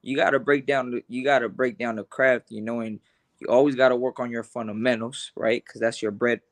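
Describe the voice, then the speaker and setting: Young man, earnest, stumbles into his sentences, tough casual talk, mid-pitched voice. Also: steady tone, brisk. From Los Angeles and Honduras. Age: young adult. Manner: earnest, repeats words when starting, tough-talking. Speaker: boxer, interview guest. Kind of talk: casual interview chat.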